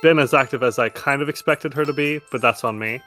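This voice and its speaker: A man's voice: medium pitch, fairly quick, sharp tone. A man acting as game master, narrating casually and explaining the rules in informal asides. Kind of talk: improvised narration of a tabletop game, casual table talk. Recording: music under the voice. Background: background music.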